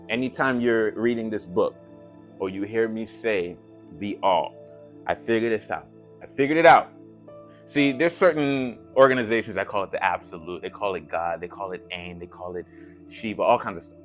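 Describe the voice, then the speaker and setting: A man giving an impassioned, informal esoteric lecture, slangy and profane, reading from a book and commenting, repeating phrases for emphasis. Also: medium pitch. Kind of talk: impassioned informal lecture with read-aloud passages. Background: music.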